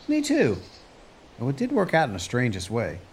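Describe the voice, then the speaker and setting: A man's voice: medium pitch, huge pitch swings, moderate pace, a little hiss or hum. Casting: kindly country neighbor for family radio drama, a male actor, easygoing and folksy, with widely swinging intonation. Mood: wry, reflective. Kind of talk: radio play acting.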